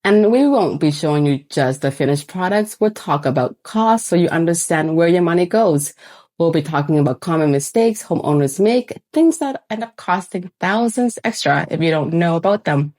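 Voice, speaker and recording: A woman in her forties, co-founder of a renovation company, speaking in an upbeat, clear way. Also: fairly low pitch, lively intonation, normal speed, a little mellow. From born in Thailand, raised in Canada. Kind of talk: scripted channel introduction.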